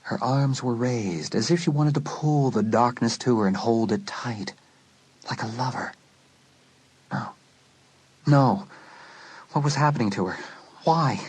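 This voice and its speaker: A man narrating a suspense story in a dramatic, scripted style, letting phrases drop to a hush. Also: medium pitch, a little slow, pauses often, husky. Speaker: audiobook narrator.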